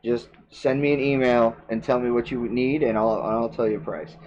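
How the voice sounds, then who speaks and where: A man's voice: medium pitch, fairly even intonation, brisk, darkish tone, lo-fi sound. A man hosting a casual chat show, trading jokey, self-mocking banter. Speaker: host.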